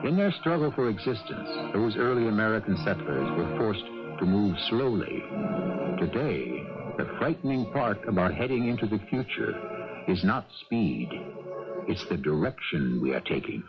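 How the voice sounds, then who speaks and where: A man hosting a 1980s radio drama, reading scripted narration in a measured, reflective, theatrical way. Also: fairly low pitch, very animated, music in the background. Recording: muffled old radio broadcast.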